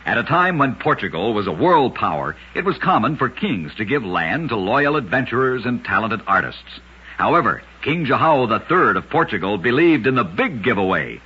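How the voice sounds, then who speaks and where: A man's voice: mid-range voice, sing-song, normal speed, breathy edge, highs cut off. A man narrating short scripted stories as a radio host, with a dramatic, emphatic delivery, on a vintage radio recording.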